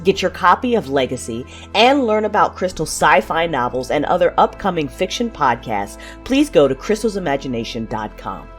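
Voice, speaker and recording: A woman hosting, reading a scripted outro and end credits announcement. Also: low voice.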